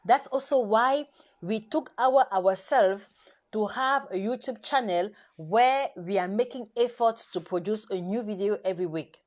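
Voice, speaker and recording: A woman whose first language is French, hosting a live nutrition session in careful, halting English full of restarts, coaxing her viewers directly. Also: medium pitch, darkish tone. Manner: halting, self-correcting English with many restarts and fillers. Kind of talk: live stream chat to viewers.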